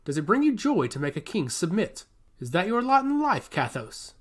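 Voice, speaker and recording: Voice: mid-range voice, big pitch swings, normal speed. A narrator voicing a proud, angry old island king in a fantasy story, speaking in formal, indignant declamation. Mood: scornful anger.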